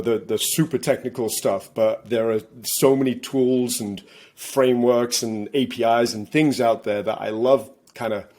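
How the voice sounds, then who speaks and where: A man in his thirties from England with an English accent, a guest talking casually in a halting way, full of restarts and fillers, over a video call from home. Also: mid-range voice, darkish tone. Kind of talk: casual interview. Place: home room, indoors.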